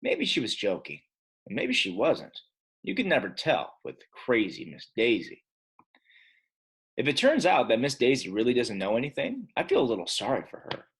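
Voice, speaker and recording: A man's voice: medium pitch, wildly swooping pitch, normal speed, slightly rough. A man teaching young children in a casual read-aloud style, chatty and speaking directly to his pupils. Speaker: elementary school teacher.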